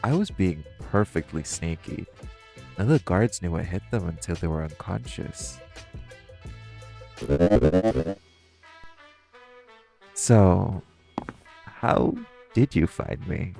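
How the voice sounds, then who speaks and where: A man's voice: low-pitched, lively intonation, measured pace, vocal fry, mellow, faint music behind, exaggerated voice. A man playing a flirty, cocky supervillain master thief in an audio roleplay, teasing and theatrical. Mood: curious, amused. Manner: teasing, theatrical, purring, chuckling. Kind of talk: audio roleplay acting, intimate monologue to listener.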